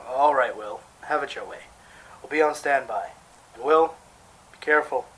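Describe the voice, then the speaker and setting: A man's voice: medium pitch, measured pace, fairly bright tone, slight background noise, lightly acted, rough recording. A man playing a cautious, concerned police officer in a noir radio drama, speaking firmly and earnestly. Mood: reluctant concern.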